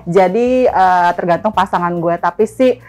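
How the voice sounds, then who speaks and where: A woman in her thirties answering interview questions in a chatty, relaxed, slangy way. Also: on the low side, measured pace, faint music behind. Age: 30s. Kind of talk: casual street interview answers.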